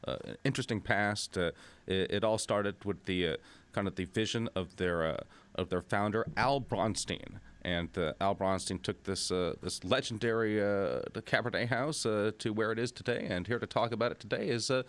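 A man's voice: lowish voice, normal speed, a bit bright, smooth. A man co-hosting a talk radio show, introducing the guest with stumbling restarts.

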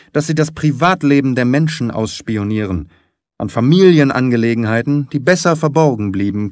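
Man acting out a gruff, indignant, haughty doctor. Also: mid-range voice, darkish tone, no room sound, clean studio sound, lightly acted. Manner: brusque, haughty, cutting. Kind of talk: audiobook character acting.

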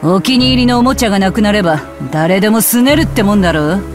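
An actress voicing a brash, bitter rocker witch in a video game, with sardonic, rough-spoken, taunting delivery. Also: medium pitch, expressive, fast talker, close to an everyday voice. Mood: sulky, bitter. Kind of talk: Japanese video game voice acting. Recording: loud music under the voice. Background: loud music.

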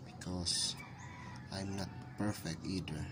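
A man giving informal step-by-step instructions in halting short phrases, pausing often as he presents a hands-on tutorial. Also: low-pitched, steady tone, over music. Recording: outdoor ambience with birds and roosters. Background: roosters crowing, chickens, birds.

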